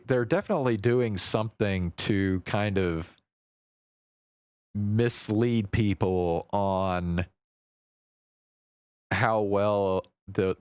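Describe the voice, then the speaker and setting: A man with a deep, smooth voice hosts a casual livestream. He speaks slowly, thinking out loud with hesitations and the odd swear word. The sound is close and dry. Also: dry recording. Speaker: livestream host. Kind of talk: casual unscripted livestream chat.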